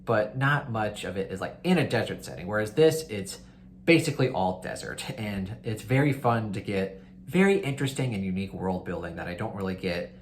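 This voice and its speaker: A man's voice: lowish voice, expressive, a little fast, slight background noise. A man chatting casually and enthusiastically to viewers as a book reviewer, in an informal, conversational way. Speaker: book reviewer hosting a readalong. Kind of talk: casual chat to viewers, recommending books.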